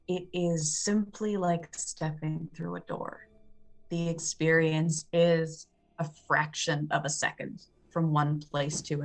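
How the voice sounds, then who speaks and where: A woman improvising poetic, dramatic narration as a player in a tabletop horror game, in a measured manner. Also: deep voice, vocal fry.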